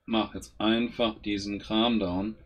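A man's voice: lowish voice, level tone, takes it slowly, lo-fi sound, a little hiss or hum. A man giving casual gameplay commentary, grumbling and exasperated as he swears at his own units. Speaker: gaming YouTuber.

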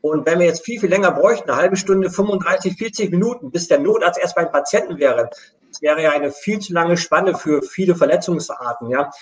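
A man's voice: fairly high pitch, a little fast. A middle-aged man explaining things step by step on a live video call, as an air rescue station manager. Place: room at home.

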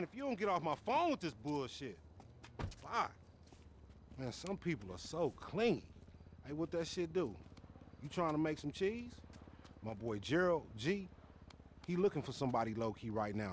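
Young street hustler, American accent, boisterous, swaggering slang, fairly high voice, game cutscene, clearly noisy traffic background. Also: male voice, very animated, moderate pace. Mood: irritated, then scheming, persuasive. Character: boisterous young male street hustler, friendly, cocky, fast-talking.

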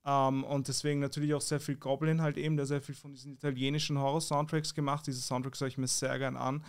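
A man, a beat producer talking in a relaxed, rambling way and chuckling now and then, recorded on a boom-arm podcast mic in a small studio room. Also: fast talker, clear, resonant tone. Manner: reflective, rambling, chuckles often. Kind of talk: casual podcast interview. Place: small studio room with posters, seated at table.

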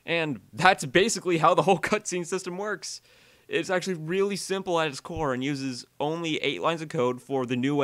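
A man narrating a software tutorial voiceover, halting and often repeating or correcting himself as he explains. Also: mid-range voice, no echo.

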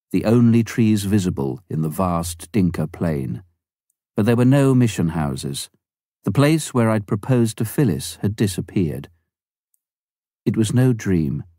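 A man with a low, mellow voice reads a memoir aloud. His delivery is measured and unhurried. The recording is dry and close, with no room sound. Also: dry recording. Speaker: audiobook reader. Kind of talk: scripted memoir narration.

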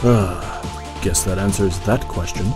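Guy playing level-headed dry fighter dude from a game, lowish, loud music behind. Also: takes it slowly. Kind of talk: video game fan dub acting.

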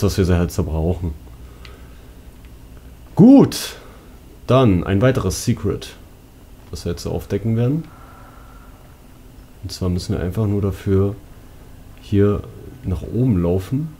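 Male let's player, relaxed and fairly deep, chatting casually over light game noise. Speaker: gaming YouTuber, let's player. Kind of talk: casual let's play commentary.

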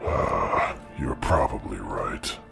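Rumbling, gravelly, extremely deep dragon. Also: male voice, lively intonation, slow pace, pushed and bright, soft background music, exaggerated voice. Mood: pensive, grumbling. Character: huge blue dragon, grave, brooding, loyal. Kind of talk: scripted fantasy audio drama.

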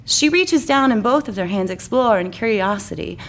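A woman's voice: mid-range voice, animated, normal speed. A woman reading her own comic story as narrator, in a florid, mock-serious romantic style. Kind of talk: mock-romantic scripted narration for a live comedy story night.

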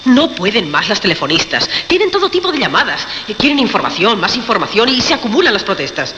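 A woman's voice: mid-range voice, sing-song, quick pace, pushed and bright. An actress with a Castilian accent playing a frightened, harried woman from a radio control room, urgent and pleading, in a radio drama. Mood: harried, insistent. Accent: Castilian Spanish. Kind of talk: Spanish radio drama acting. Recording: dull radio recording, faint noise.

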